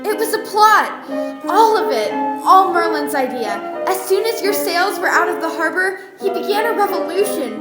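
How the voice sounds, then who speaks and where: Radio-play sidekick: urgent young male aide, voiced by a woman, high pitch, plaintive, roomy sound with music. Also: lively intonation, normal speed. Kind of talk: stage-style radio play acting. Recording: echoey room, music behind.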